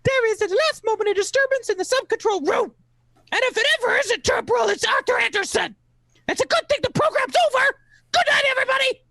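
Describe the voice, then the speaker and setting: Old-time radio drama host, acted by a woman: squeaky-high, exclamatory, very animated delivery. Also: fast talker, gravelly, dry recording, exaggerated voice. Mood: theatrical.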